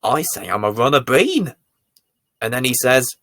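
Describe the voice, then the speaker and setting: A man reading a bedtime story to young children as its presenter, with deliberate, theatrical emphasis. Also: medium pitch, big pitch swings, creaky, no room sound, studio quality. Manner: deliberate, theatrical emphasis with dramatic swells and hushes.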